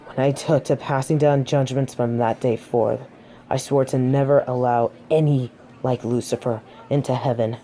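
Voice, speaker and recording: A woman's voice: rumbling low, fairly even intonation, moderate pace, warm tone, slight background noise. A woman playing a proud, haughty fallen angel of judgement in a scripted audio roleplay, dramatic and defensive. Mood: bitter, defensive.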